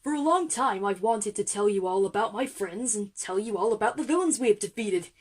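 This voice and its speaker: A woman's voice: mid-range voice, lively intonation, a little fast, a little mellow, breathy edge. A woman voicing a boastful, jealous male superhero commander with a showy, emphatic manner in an audio drama for children. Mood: proud.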